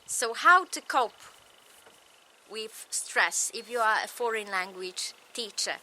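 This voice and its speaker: A woman with a Polish accent, a native Polish speaker, giving a recorded lecture in slow, measured, short phrases. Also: a little high, expressive, bright, forceful tone, slight background noise.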